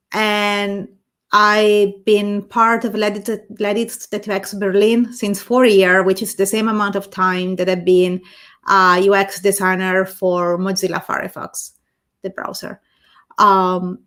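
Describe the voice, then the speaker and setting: Slow-talking woman, playful. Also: medium pitch, steady tone, fairly bright tone. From Italy. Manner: playful, unhurried, with self-corrections. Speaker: panelist, UX designer. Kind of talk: casual self-introduction. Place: studio room on top floor.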